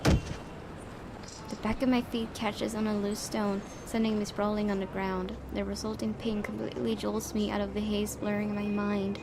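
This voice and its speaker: Woman, gaming host, medium pitch, visual novel read-aloud with casual commentary, noisy home recording. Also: level tone, moderate pace, creaky.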